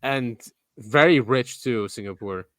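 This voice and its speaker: Man, podcast co-host, mid-pitched, relaxed, rambling with fillers, trailing sentences, dry close sound. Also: measured pace, no room sound. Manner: relaxed, lots of "yeah" and "um", drifting trailing-off sentences. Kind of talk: casual chat.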